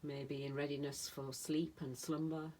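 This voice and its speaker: Low-voiced woman guiding relaxation, very unhurried. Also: level tone, darkish tone, slight background noise. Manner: soothing, unhurried, speaking in short phrases with long pauses. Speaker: yoga nidra meditation teacher. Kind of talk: guided relaxation meditation.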